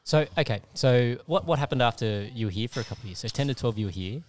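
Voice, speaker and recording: A man hosting a podcast, asking questions conversationally and backing up his guest with steady "yeah" and "mm" replies. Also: mid-range voice, quick pace, slight background noise. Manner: encouraging, frequent "yeah" and "mm" backchannels. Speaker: podcast host. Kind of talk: conversational interviewing.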